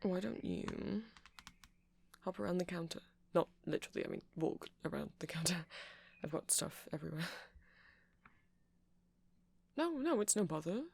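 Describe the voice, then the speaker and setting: A man playing a nerdy, gentle vampire shop clerk in an ASMR roleplay, rambling in a hesitant, softly polite, apologetic way. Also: sits high, lively intonation, moderate pace, vocal fry, slight background noise. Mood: apologetic, fond.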